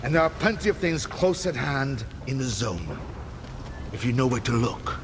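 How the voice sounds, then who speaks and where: A man's voice: mid-range voice, sing-song, normal speed, noisy background. A man acting a charismatic, teasing rebel leader in a video game, with a sardonic, theatrical and familiar manner. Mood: wry, relaxed. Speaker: video game character in cutscenes. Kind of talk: dramatic video game dialogue. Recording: loud music behind dialogue.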